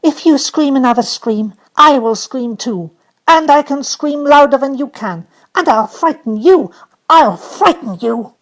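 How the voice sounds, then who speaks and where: A woman voicing a savage, bossy little girl who shouts and stamps as she scolds. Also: high voice, expressive, measured pace, pitched above the performer's own voice. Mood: furious, scolding.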